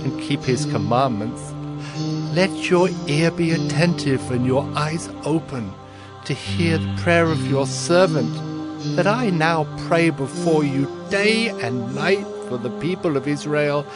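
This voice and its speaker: A man's voice: a little high, unhurried, lightly acted. A man playing a devout, earnest cupbearer in a dramatized audio Bible, solemn and reverent in his delivery. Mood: pleading, fervent. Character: Nehemiah, devout earnest cupbearer to an ancient king. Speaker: narrating lead in dramatized scripture. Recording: music behind the voice.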